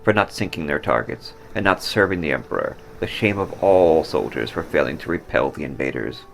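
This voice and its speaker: A man reading a war story, playing a stern, fatalistic Japanese army captain who commands gravely. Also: very deep voice, expressive, a little fast, a bit bright, soft background music, exaggerated voice. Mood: grim pride. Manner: grave, barking orders.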